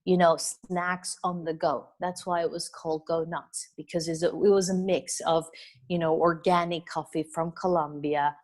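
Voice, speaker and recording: A middle-aged woman from Venezuela talks at length as an interview guest, in a voice pitched a little low. She rambles in an easygoing way, scattering "you know" through her answers and laughing softly now and then. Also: little pitch movement, normal speed. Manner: chatty and expansive, with frequent "you know" and soft laughs. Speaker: interview guest, wellness business coach. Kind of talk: casual interview conversation.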